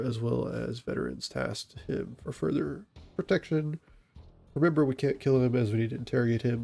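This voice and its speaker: A man with a stuffy, congested voice giving calm, methodical commentary on a tactical game. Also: mid-range voice, creaky, breathy edge. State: stuffy from a cold. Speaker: gaming channel host and SWAT operator.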